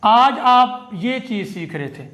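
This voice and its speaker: A man teaching an online English phonetics class, slowly repeating each sound and example phrase. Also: very high voice, lively intonation, bright, forceful tone, roomy sound, dull audio.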